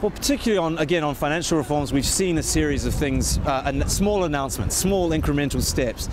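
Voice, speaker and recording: A man's voice: fairly high pitch, expressive, a little fast. Man explaining outdoors, lapel mic. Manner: thoughtful, explanatory, frequent restarts and fillers. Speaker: bureau chief, correspondent. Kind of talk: on-location news interview. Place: outdoors in a large public square. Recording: outdoor noise behind the voice.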